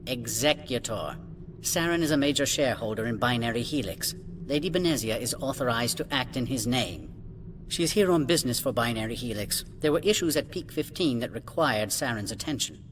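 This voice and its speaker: Guy doing a snooty game administrator, mid-pitched, curt and impatient, some background noise. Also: lively intonation, fairly quick, a bit bright, lightly acted. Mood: haughty, disdainful. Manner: pompous, curt, dismissive. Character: haughty, impatient male corporate administrator. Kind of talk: video game acting.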